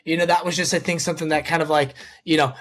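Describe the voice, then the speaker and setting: Guy with a kinda high voice hosting a sports podcast, super informal, rambles and corrects himself. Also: little pitch movement, fast talker, fairly bright tone. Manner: rambling, informal, repeats words and self-corrects. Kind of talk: casual sports chat.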